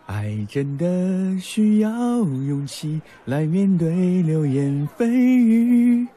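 An actor playing a playful, affectionate young male student who gently teases in an audio drama. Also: high-pitched, big pitch swings, extremely slow, soft background music, full character voice. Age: young adult.

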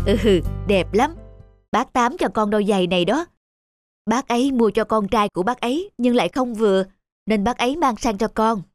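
A woman voicing a gentle, hard-pressed village mother in a cartoon dub, soft, caring and earnest. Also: a little high, normal speed, no echo, soft background music, lightly acted. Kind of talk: cartoon dubbing.

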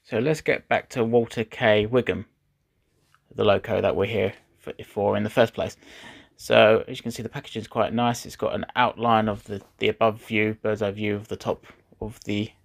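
A man's voice: on the low side, steady tone, normal speed, creaky, no echo, studio quality. A man who is not a native English speaker, chatting casually while unboxing a model train, rambling and correcting himself.

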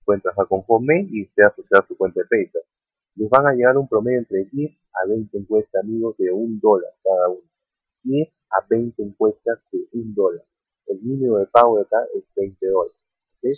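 A man's voice: lowish voice, normal speed, husky, no room sound, soft background music. A man walking viewers through a website in a screen-recorded tutorial, often checking in with "ok?" and calling them friends. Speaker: online earning vlogger. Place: at a computer, voice-over on screen capture. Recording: dry tinny phone-like sound.

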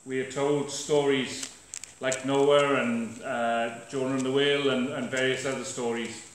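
A man's voice: mid-range voice, little pitch movement, a little slow. A man preaching a sermon in a church, informal and hesitant, with lots of fillers and restarts. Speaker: visiting preacher. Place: church sanctuary, at a lectern. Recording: echoey church hall with faint noise.

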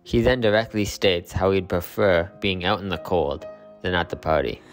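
A man with a very deep voice narrates a literary video essay. His scripted delivery is steady and explanatory. Faint music plays beneath him. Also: normal speed.

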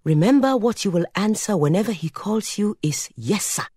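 Narrator voicing a brisk, bossy village aunt, pitched up. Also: female voice, expressive, warm tone, slightly rough, no echo, clean studio sound. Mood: instructing. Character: brisk, bossy village aunt coaching her young nephew.

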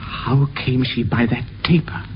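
Man as a grave, hushed physician. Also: mid-range voice, a little slow, a little hiss or hum, lightly acted. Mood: hushed curiosity. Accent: British. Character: grave, observant male physician. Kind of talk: 1950s radio Shakespeare acting. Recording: muffled 1950s radio.

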